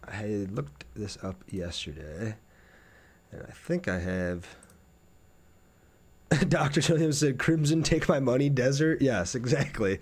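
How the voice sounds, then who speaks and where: A man livestreaming his gameplay in a middling-pitched voice. He talks casually to his chat, laid-back and chatty, reading comments and thinking aloud as he goes. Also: expressive, takes it slowly, pauses often, slightly rough. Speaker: game streamer.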